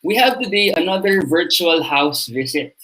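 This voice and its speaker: A man hosting an interview over a video call, introducing it and then asking questions haltingly with many fillers. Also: fairly high pitch, expressive, sharp tone, rough recording. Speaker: interview host.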